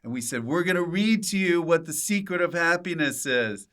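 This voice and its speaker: A man's voice: fairly high pitch, lively intonation, moderate pace, a bit bright. A man hosting a podcast in casual, jokey conversation, informal and playful. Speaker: podcast host. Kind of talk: casual comic podcast chat.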